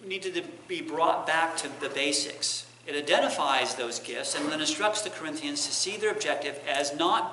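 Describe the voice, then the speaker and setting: Bright-voiced preacher, echoey church. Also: male voice, a little high. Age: middle-aged. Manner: explanatory, repeats and rephrases points. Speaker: preacher giving a Bible lesson. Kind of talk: teaching sermon. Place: church sanctuary, indoors. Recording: echoey church room, clear background noise.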